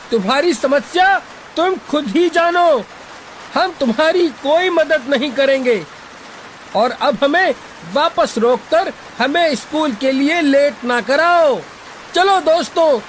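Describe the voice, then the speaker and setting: A woman voicing a scornful, unhelpful young school friend, scolding in an animated story. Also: sits high, a little hiss or hum, low-quality recording.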